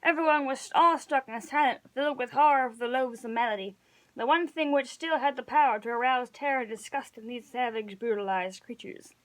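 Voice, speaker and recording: A woman's voice: sits high, a little fast, rough recording. A woman reading a story aloud as an amateur narrator, sometimes stumbling over words and correcting herself. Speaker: amateur reader of an audiobook read-along.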